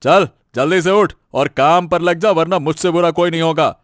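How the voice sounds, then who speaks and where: A man playing a bossy male farmer in an animated moral story, scolding and giving orders in a gruff way. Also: a little high, normal speed, no room sound, clean studio sound, close to an everyday voice. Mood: angry scolding.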